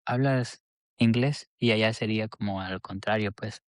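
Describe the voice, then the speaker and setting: A man's voice: lowish voice, normal speed, no echo, studio quality. A man from El Salvador, a podcast guest who talks in a hesitant, earnest way and often restarts his sentences. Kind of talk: casual interview chat.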